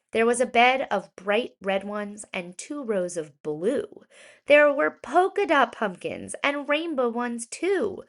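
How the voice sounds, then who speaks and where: A woman reads a children's rhyming story in a fairly high voice. Her pace is very slow and deliberate, and she shapes each phrase dramatically, stressing important words as a storyteller for young listeners would. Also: a bit bright. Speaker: children's storybook reader, educator.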